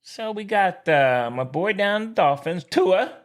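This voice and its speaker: A man's voice: sits high, lively intonation, a little slow. An American man co-hosting a sports podcast in casual, blunt, opinionated banter with slangy teasing.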